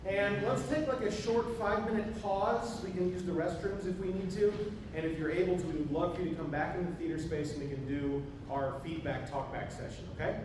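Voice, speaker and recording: High-voiced man hosting, distant echoey hall. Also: fairly even intonation, brisk, few pauses, noisy background. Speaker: event host. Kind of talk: informal announcement to an audience. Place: theater space, recorded from a distance.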